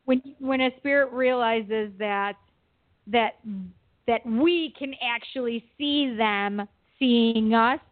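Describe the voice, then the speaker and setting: A woman's voice: fairly high pitch, slow. A woman co-hosting a casual chat show in an informal, easygoing way. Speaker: show co-host. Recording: phone-like thin audio.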